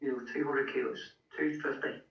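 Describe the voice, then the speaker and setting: A man's voice: medium pitch, steady tone, moderate pace, echoey room, distant mic, lo-fi sound. A man as a guest in a conversation, talking earnestly and encouragingly, often repeating words. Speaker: guest, strongman coach. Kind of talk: casual interview chat.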